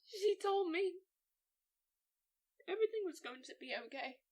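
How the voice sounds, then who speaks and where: A grieving new mum, high voice, really slow broken phrases, raw emotional radio drama monologue. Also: female voice, expressive, a little mellow. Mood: sorrowful. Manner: halting, breathy, emotionally charged delivery in short phrases. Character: traumatised grieving new mother recounting labour, raw and tender.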